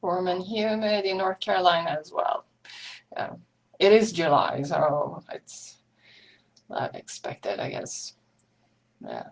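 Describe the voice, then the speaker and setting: A woman's voice: lowish voice, big pitch swings, slow pace, long pauses, poor audio. A woman from Sweden with a Swedish accent, hosting a live online meetup in a chatty way, with many ums and small laughs. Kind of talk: casual live-stream chat.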